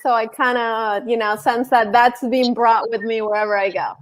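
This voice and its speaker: A woman from Argentina speaks as a guest in a casual video-call interview. Her voice is fairly high, and she tells her story with eager emphasis, sometimes repeating a word as she goes. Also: steady tone, moderate pace. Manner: enthusiastic, emphatic, some repeats.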